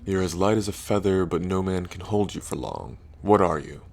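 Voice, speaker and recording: A man playing a lonely ancient dragon king in roleplay ASMR, proud yet bashful, with halting, deliberate phrasing. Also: deep voice, expressive, gravelly, heavily acted. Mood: playful, challenging. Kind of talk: roleplay ASMR audio drama.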